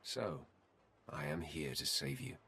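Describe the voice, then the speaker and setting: A grave Sith warrior from a video game, with a very low voice full of gravel. He speaks formally and solemnly, in measured phrases. There is clear noise behind the voice. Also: sing-song, sharp tone, heavily acted. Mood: solemn, earnest. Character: deep-voiced Sith warrior confronting his solemn mirror-image light self. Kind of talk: video game dialogue acting.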